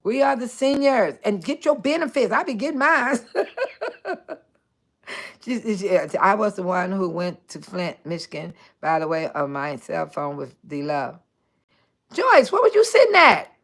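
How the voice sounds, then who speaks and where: A woman in her sixties hosting a casual livestream, chatting warmly with viewers by name and laughing often. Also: mid-range voice, very animated, unhurried. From Chicago area. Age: 60s. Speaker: livestream host. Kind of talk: casual livestream chat reading viewer comments.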